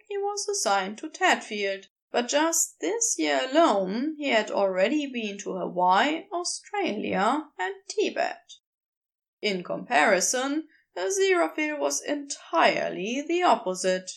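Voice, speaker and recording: A woman's voice: fairly high pitch, vocal fry. A woman reading a romantic story aloud as a scripted narration. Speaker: podfic narrator reading fan fiction aloud.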